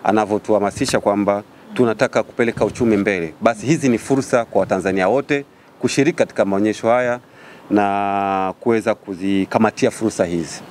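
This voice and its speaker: A Tanzanian man with a fairly low voice addresses a press conference as an organiser. There is faint background noise with a hum of vehicles behind him. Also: quick pace, darkish tone. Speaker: event organiser.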